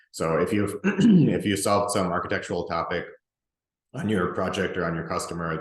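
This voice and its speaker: Very low male voice, relaxed webinar presenter, roomy echo. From Russia, grew up in the US. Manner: relaxed, conversational, many ums and restarts. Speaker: presenter and group organizer.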